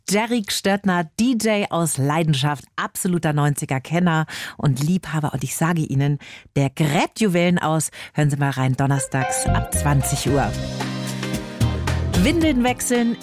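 A woman hosting and interviewing on the radio, speaking into a close studio microphone. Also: deep voice. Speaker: radio host.

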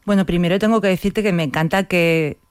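Reflective woman with a clear, smooth voice. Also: fairly low pitch, brisk, dry recording, clean studio sound. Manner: reflective, often seeks agreement with tag questions. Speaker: interview guest, playwright. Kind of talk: reflective conversational interview.

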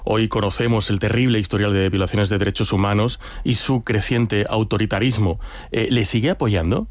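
A man's voice: fairly low pitch, fairly even intonation, normal speed, dry recording. A man interviewing formally, putting direct and probing journalistic questions.

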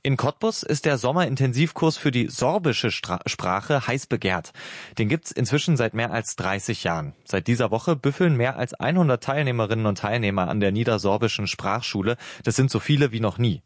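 A man's voice: mid-range voice, animated, brisk, no echo, studio quality. A man presenting scripted news items as a radio host.

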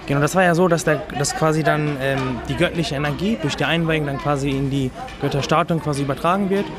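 Young man in his twenties, fast chatty speech, slightly high voice, crowd loud behind. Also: loud music behind the voice. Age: 20s. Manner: quick, chatty with fillers. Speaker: interviewee. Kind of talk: casual interview answer. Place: outdoors at a crowded temple festival.

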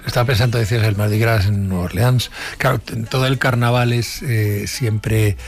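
A man hosting a casual conversational interview, often stammering and pausing as he searches for words. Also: on the low side, fairly even intonation, faint music behind. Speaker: interview host.

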